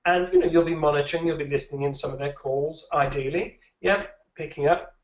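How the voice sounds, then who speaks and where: A man's voice: on the high side, brisk. Thoughtful man with a raised tone in a roomy space. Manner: thoughtful, repeats words while thinking. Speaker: recruitment trainer. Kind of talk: conversational interview answers. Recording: dull, roomy, a little distant.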